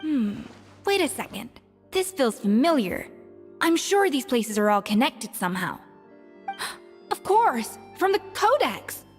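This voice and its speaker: A woman's voice: high voice, big pitch swings, measured pace, big-room sound, faint music behind. A woman voicing a blunt, easily exasperated young woman in an anime fantasy game. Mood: pensive.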